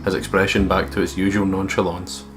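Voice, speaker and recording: A low-pitched man from the UK hosts a let's play, reading story text aloud and chatting in asides. Clear game music plays behind his voice. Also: level tone, a little fast. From United Kingdom.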